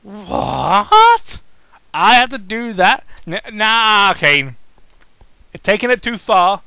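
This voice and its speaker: A man voicing a hot-tempered small bearded male wrestler in a comic story reading, loud, snappy and exasperated. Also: high voice, big pitch swings, slow, gravelly, smooth, no echo, voice pushed up, full character voice. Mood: indignant.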